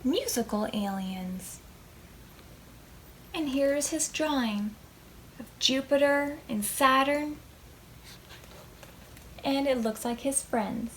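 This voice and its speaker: A woman with a fairly high voice hosts a children's storytime, reading a picture book at a very unhurried pace. She is expressive and stretches out words for small listeners. Some background noise sits under the recording. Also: low-quality recording. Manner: expressive, with questions to young listeners and drawn-out words. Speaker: librarian storytime host. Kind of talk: animated picture-book read-aloud for preschoolers.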